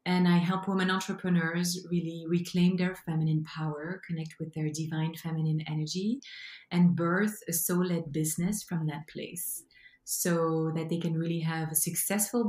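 Belgian French-accented woman, low voice, animated storyteller, roomy echo. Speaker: guest. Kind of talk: storytelling interview.

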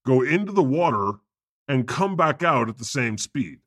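A man's voice: mid-range voice, sing-song, normal speed, a bit bright, breathy edge, no echo, studio quality. A man playing God as a brash, foul-mouthed know-it-all in comedic podcast banter, insistent and emphatic. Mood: emphatic, incredulous.

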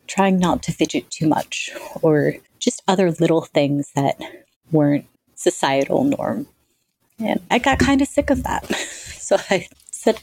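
Low-voiced woman, reflective and articulate. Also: slightly rough, no room sound, rough recording. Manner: reflective, articulate, self-described monotonous voice. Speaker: guest. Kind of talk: conversational interview answers.

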